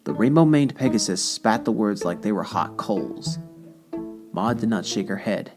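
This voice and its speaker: A man narrating a dramatic scripted story in a deliberate, solemn manner. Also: fairly low pitch, lively intonation. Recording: music behind the voice. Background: instrumental music.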